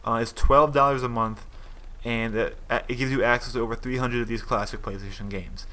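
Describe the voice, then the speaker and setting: A man's voice: medium pitch, fairly even intonation, a little fast, sharp tone. A young man giving casual, opinionated gaming commentary, rambling and restarting his sentences as he thinks aloud.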